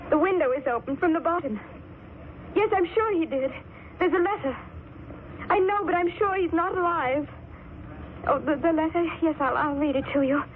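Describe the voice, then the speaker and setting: High-pitched flustered nurse, played by an actress. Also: female voice, close to an everyday voice. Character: anxious, flustered female hospital nurse. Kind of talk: 1940s radio drama acting. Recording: tinny, noisy 1940s radio recording.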